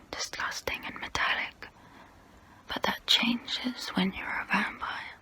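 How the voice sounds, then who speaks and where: A woman playing a tormented, confessional female vampire in an ASMR role play, whispering softly and breathily up close to the mic. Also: medium pitch, low-quality recording. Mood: tormented hunger. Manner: slow, hushed, deliberate, pausing between phrases. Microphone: close-up microphone.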